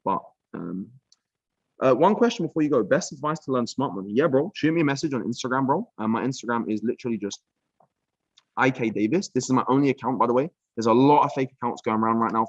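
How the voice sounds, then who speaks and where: A young British man breaking down trading charts on a casual live stream, relaxed and chatty, full of "um", "bro" and "like". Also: medium pitch, no echo, muffled recording. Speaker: trading mentor. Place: villa room.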